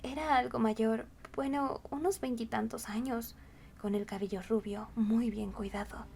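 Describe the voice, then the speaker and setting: A woman's voice: fairly high pitch, fairly even intonation, a little slow, creaky, a little mellow, a little hiss or hum. A woman reading scripted storybook narration as the narrator.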